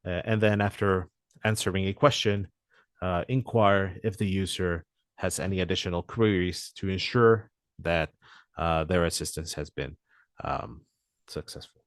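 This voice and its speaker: A man presenting a software demo walkthrough in a webinar, talking hesitantly with frequent "uh" fillers. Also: sits low, unhurried, dry recording, clean studio sound.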